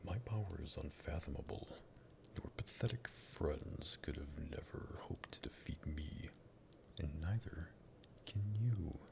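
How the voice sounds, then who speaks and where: Male actor as sadistic vampire master, slow drawl, gravelly creak, possessive, taunting, ASMR roleplay. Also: extremely low pitch, full character voice. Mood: possessive, seductive. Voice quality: breathy, gravelly whispering. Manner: slow, teasing, condescending drawl. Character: sadistic vampire master, possessive and menacing, toying with captive lover.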